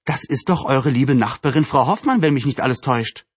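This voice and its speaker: A man voicing a bold, brisk tomboy girl with a blunt manner in a storybook reading. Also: mid-range voice, lively intonation, husky, no room sound. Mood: urgent.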